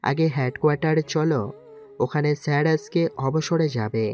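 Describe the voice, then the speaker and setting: A man's voice: fairly high pitch, moderate pace, darkish tone, natural voice. A man playing a resolute, protective young navy commander in an audio drama. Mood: serious. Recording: background music under the voice.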